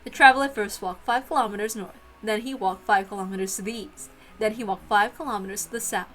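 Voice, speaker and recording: A woman's voice: medium pitch, fairly even intonation, fast talker, a bit bright, soft background music, close to an everyday voice. A woman voicing a cheerful, encouraging aunt in a visual novel read-aloud, playful and reassuring.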